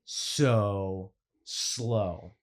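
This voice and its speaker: A man co-hosting a casual podcast, joking and telling animated stories, often calling others "dude". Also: fairly low pitch, extremely slow. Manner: joking, animated storytelling, says "dude" a lot. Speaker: co-host, teacher.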